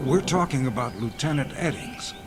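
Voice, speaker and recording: An actor in a radio drama playing a weary, commanding army captain, intense and authoritative. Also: male voice, mid-range voice, lively intonation, normal speed, natural voice. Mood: stern, commanding. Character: weary, commanding army captain, grimly brooding over casualties. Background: dramatic music.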